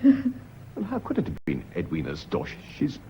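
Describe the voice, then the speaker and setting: An actor playing an earnest British schoolmaster and lover, persuasive and reassuring with a nervy edge, in a radio drama. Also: male voice, fairly low pitch, huge pitch swings, normal speed. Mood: agitated, reassuring. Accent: British English. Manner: persuasive, reassuring, by turns tender and exasperated. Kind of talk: British stage-style radio drama acting. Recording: dull, hissy old radio recording.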